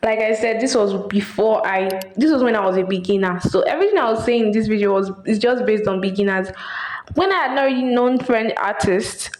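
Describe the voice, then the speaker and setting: A woman's voice: medium pitch, a little fast, darkish tone, a little hiss or hum. A young woman with a Nigerian accent adds an explanatory voice-over to her own vlog, clarifying what she said earlier. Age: young adult. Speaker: vlogger. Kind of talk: voice-over added during editing.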